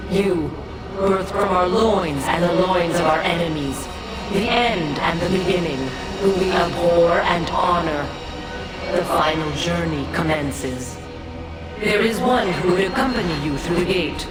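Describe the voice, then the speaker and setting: An ancient goddess-like spirit voiced by a woman speaks from a vast, echoing space. Her voice is fairly low, solemn and commanding, with archaic, prophetic phrasing. Loud music surrounds her in this video game cutscene. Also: close to an everyday voice. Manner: measured, archaic, prophetic speech.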